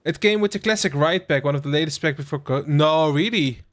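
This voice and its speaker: A young man from the Netherlands hosting a relaxed game livestream, rambling with lots of fillers and asides to his chat. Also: a little high, brisk. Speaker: livestream host. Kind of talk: casual live-stream chat while playing a game.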